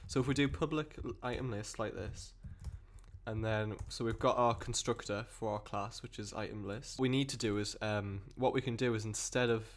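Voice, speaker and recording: Croaky man with a cold explaining code. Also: on the low side, fairly even intonation, normal speed. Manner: rambling, self-correcting explanations with many fillers. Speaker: programming tutor. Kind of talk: screen-recorded coding tutorial.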